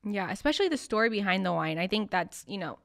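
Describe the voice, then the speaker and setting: A woman's voice: medium pitch, expressive, quick pace, gravelly, a bit bright, dry recording, studio quality. A woman hosting a podcast and interviewing a guest in a casual, conversational way.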